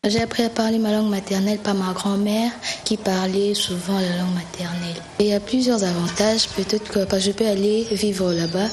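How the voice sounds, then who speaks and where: A woman's voice: medium pitch, steady tone, fairly quick, hardly any pauses, darkish tone, a little hiss or hum. A woman giving simple, earnest answers in a short news interview. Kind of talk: vox pop interview.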